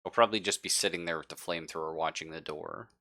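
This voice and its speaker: A man with a low, bright voice runs a tabletop roleplaying game as game master. He improvises casually, pausing to think aloud and sometimes swearing. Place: live online stream.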